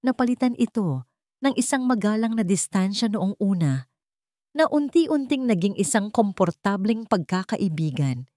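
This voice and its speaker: A woman reading a scripted dramatic story in a measured, sentimental way, phrase by phrase. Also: mid-range voice, mellow, no room sound, studio quality. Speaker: story narrator.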